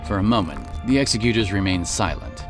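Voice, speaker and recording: A male narrator with a deep voice tells a fantasy story in scripted, storybook prose. Music runs clearly beneath his narration. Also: expressive, fairly quick.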